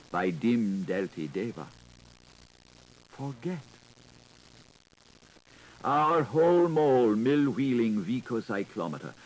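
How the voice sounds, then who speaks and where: A man reading a literary audiobook in a theatrical, deliberate, declaiming manner, on a 1980s recording. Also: fairly low pitch, a little hiss or hum, poor audio. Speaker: audiobook reader.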